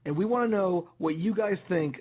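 A hoarse man with a raspy, husky voice hosts an internet radio show, upbeat and promotional, with the odd filler. His voice sits a bit high for a man. It comes through a tinny, phone-like line. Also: moderate pace.

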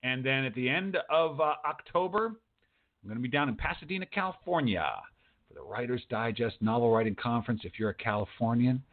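A man's voice: mid-range voice, fairly quick. Male talk show host, casual and rambling, answers himself, mid-range voice, thin phone-like sound. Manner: rambling, answers his own remarks. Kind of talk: casual, chatty radio hosting. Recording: muffled phone-like audio.

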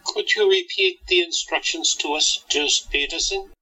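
A man playing a practical, concerned accomplice in a radio play, gently exasperated with his partner. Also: a little high, moderate pace, breathy edge, heavily acted, low-quality recording. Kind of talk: audio drama acting.

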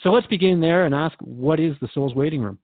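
A man's voice: on the high side, a little fast, no room sound, phone-quality sound, lightly acted. A man playing a curious, polite radio host in an audio drama, interviewing in a courteous, conversational way.

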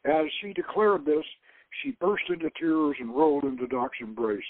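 A man reading fiction aloud in a deliberate, theatrical storytelling manner, as the host of a reading. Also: a little high, expressive, no echo. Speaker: host reading his own novel. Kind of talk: dramatic audiobook-style fiction reading. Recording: thin phone-like internet radio sound.

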